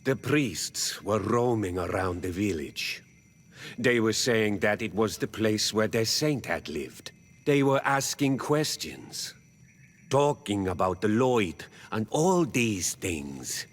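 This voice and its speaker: A man voicing a weary, stoic native village chief in a video game, with a husky voice and a grave, halting delivery. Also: fairly low pitch, lively intonation, heavily acted. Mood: resigned, grave. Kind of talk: video game dialogue acting.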